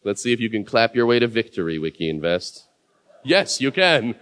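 A man's voice: on the low side, very animated, moderate pace, fairly bright tone, slight background noise. A man hosting a live awards show from a mic in a big room, offhand, joking and swearing between nominees.

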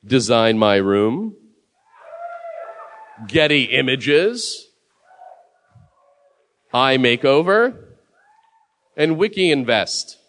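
A man's voice: mid-range voice, animated, drawn-out words, long pauses, a bit bright. A man hosting a live awards show from a mic in a big room, offhand, joking and swearing between nominees.

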